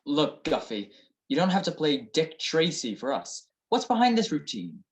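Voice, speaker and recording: Woman as breezy low-voiced male detective. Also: sing-song, normal speed. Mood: defensive. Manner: wry, slangy, deflecting questions. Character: breezy, evasive married male private detective. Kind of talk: 1940s radio detective play.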